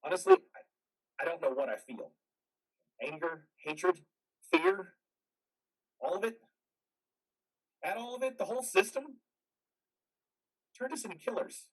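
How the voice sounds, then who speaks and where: An actor playing a traumatized, bitter and weary combat veteran in a modern stage play reading, with a husky voice. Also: male voice, high voice, heavily acted, poor audio. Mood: anguished, angry.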